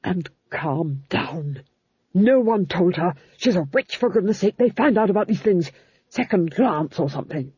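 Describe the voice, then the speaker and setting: A woman plays a haughty, cruel Duchess in an audiobook, issuing clipped, imperious commands. The voice is husky and highly varied in pitch, with an impatient menace, on a slightly dull, dry recording. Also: lively intonation, normal speed, warm tone, dry recording. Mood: irritated. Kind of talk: audiobook character voice.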